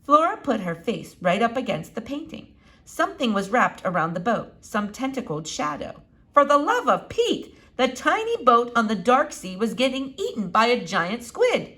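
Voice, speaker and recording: A woman narrating a children's novel as an expressive read-aloud. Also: a little high, moderate pace. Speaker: storybook reader.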